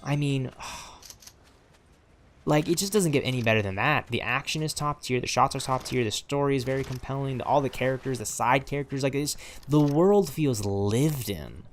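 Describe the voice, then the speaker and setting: A man's voice: mid-range voice, normal speed, a little hiss or hum. A man in his twenties talking casually over gameplay, rambling with many fillers and repeats. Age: 20s. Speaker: gaming streamer.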